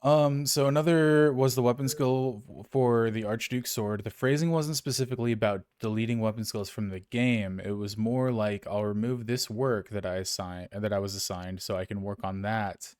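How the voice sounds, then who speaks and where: A man co-hosts a relaxed gaming podcast and reads out listener emails. His voice sits low, and he rambles and corrects himself as he goes. The recording is dry and close to the mic. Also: normal speed, hardly any pauses, clean tone, no room sound. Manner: rambling, self-correcting, chuckles often. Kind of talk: casual gaming podcast chat.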